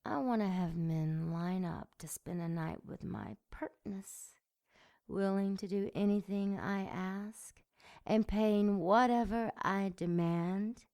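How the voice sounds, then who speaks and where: A woman's voice: medium pitch, fairly even intonation, takes it slowly, mellow. A woman playing a seductive, domineering demon in an audio drama, with a sultry, mocking delivery. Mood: haughty, scheming. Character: seductive, sadistic female demon, taunting and domineering.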